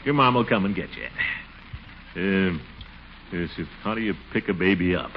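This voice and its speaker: Casting: put-upon, pompous American commissioner for vintage radio comedy; swooping delivery, muffled noisy recording. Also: male voice, sits low, expressive, normal speed. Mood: fussing, muttering. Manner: exasperated, pompous bluster, muttering and chuckling. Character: blustery, put-upon middle-aged commissioner saddled with a baby.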